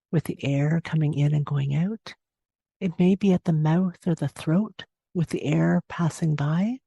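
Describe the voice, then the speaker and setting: A woman guiding a meditation as a teacher, giving gentle, unhurried instructions with long pauses between phrases. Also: low voice, vocal fry, slightly rough, dry recording. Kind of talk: guided body scan meditation narration.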